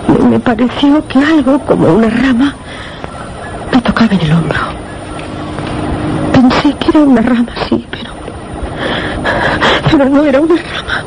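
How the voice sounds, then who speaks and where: Terrified young woman, halting, noisy recording. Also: fairly high pitch. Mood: shaken, horrified. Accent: Castilian Spanish. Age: young adult. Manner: halting, breaking off and repeating words. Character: frightened young female weather observer, shaken but insistent. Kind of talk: suspense radio theatre acting. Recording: noisy old radio drama recording.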